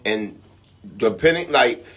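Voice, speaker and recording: A man with a New York accent and a husky voice, chatting casually on a radio panel. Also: medium pitch, expressive, slow pace, bright, forceful tone. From the Bronx, New York.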